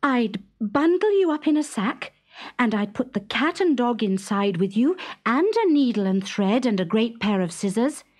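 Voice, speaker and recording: Lady doing a cheeky gleeful fairytale girl, high and really swoopy. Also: lively intonation, normal speed, darkish tone. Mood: bold, cunning. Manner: high, clear, singing out happily. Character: bold, cunning, gleeful young heroine girl. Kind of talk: dramatised storybook reading.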